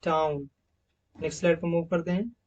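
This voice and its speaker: A man walks through phonetics slides in a classroom-lecture manner. He stretches out and repeats each example word at a louder, emphatic level, then drops back to quick explanations. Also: fairly high pitch, fairly even intonation. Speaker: English teacher. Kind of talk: slide-based phonetics lesson.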